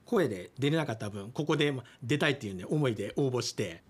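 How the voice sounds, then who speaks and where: A man with a middle-pitched voice and very lively intonation, chatting as a guest on a radio show. He is polite and earnest, feeling his way through sentences with fillers. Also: fast, husky. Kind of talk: casual interview chat.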